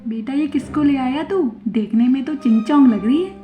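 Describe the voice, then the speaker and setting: A woman playing a suspicious, dramatic middle-aged mother-in-law in an animated moral story, exclaiming and complaining. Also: high voice, normal speed, close to an everyday voice. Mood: dismayed, scolding. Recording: dull lo-fi audio, music behind.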